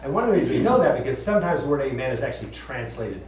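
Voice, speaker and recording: A man teaching a casual Bible study to a group, chatty and quick to laugh, often repeating and restarting his words. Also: mid-range voice, sing-song, a little mellow, a little hiss or hum. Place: room with group listening. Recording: echoey room, voice from far off.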